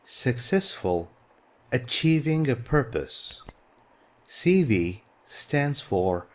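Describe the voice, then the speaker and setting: A man's voice: medium pitch, a little mellow, husky. A middle-aged man whose first language is Arabic, teaching an English textbook lesson patiently and repeating key words.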